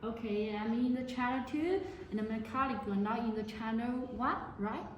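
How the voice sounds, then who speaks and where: A woman giving a product demonstration to camera in halting, non-native English phrasing. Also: fairly high pitch. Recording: very echoey room, faint noise.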